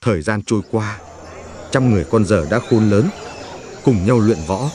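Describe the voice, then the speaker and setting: A man reading a scripted legend as a storyteller, in a solemn, measured manner. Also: fairly low pitch, background noise. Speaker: narrator.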